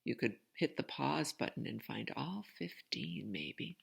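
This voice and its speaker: A woman's voice: extremely low pitch, expressive, a little slow, vocal fry, background noise. A woman storyteller reading a children's picture book expressively, with chatty asides to young listeners. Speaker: read-aloud storyteller.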